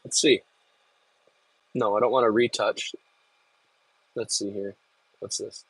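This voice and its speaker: A man's voice: lowish voice, steady tone, slow pace, a bit bright, a little hiss or hum, poor audio. A man with a Michigan accent, hosting a casual livestream and rambling as he reads and answers viewers' chat messages. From Michigan, US Midwest. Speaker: livestream host. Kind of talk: casual live-stream chat.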